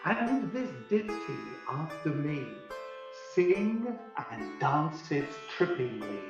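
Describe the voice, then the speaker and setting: An actor playing a stately fairy king in an amateur radio play, chanting Shakespearean verse in measured rhyme. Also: on the high side, sing-song. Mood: solemn blessing. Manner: incantatory, measured rhyming delivery. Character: Oberon, stately fairy king blessing a house. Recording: very echoey, distant, muffled, music behind.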